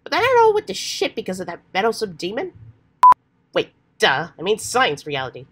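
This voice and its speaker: A woman voicing an earnest, excitable, old-fashioned adventurous teenage boy with hammy, folksy slang, while getting over a cold. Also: medium pitch, brisk. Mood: puzzled. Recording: faint background noise.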